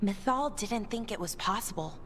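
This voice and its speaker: An actress playing a scholarly young elf in a video game, explaining eagerly with halting afterthoughts. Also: female voice, medium pitch, expressive, fairly bright tone, slight background noise, natural voice. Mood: earnest explaining. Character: earnest, scholarly young female elf lore enthusiast. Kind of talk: game party dialogue.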